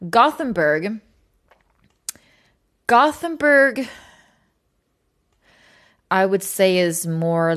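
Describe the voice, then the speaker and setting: A woman from Sweden chatting casually and informally to livestream viewers, rambling with plenty of filler words and some swearing. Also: medium pitch. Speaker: livestream host.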